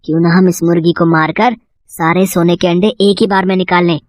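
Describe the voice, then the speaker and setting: A woman's voice: on the low side, steady tone, brisk, a little mellow, no echo, voice pushed down, lightly acted. A woman voicing a farmer's eager, greedy young son in a cartoon moral story.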